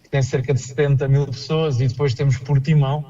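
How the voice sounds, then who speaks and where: A man with a European Portuguese accent, a political candidate answering interview questions over a remote call, measured and explanatory with some hesitations. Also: medium pitch, fairly even intonation, mellow, recorded from a distance, lo-fi sound. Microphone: call line.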